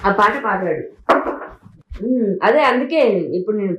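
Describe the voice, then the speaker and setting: A woman's voice: medium pitch, lively intonation, normal speed. A woman in a heated argument, speaking insistently and repeating herself over and over. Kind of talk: heated argument in a leaked recording. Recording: echoey amateur recording of an argument.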